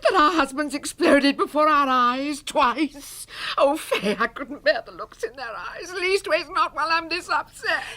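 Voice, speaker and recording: An actress in a radio drama playing a fretful, scolding middle-class English wife with a plaintive, melodramatic manner. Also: female voice, high voice, measured pace, few pauses, sharp tone. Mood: tearful.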